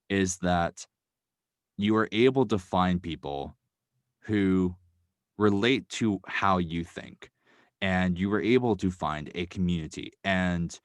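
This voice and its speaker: A man with a very low voice chats as a podcast guest, his delivery meandering and padded with fillers and self-corrections. The sound is close and dry, with almost no room around it. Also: dry recording. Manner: rambling, full of "um" and "like", hedging. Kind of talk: casual podcast chat.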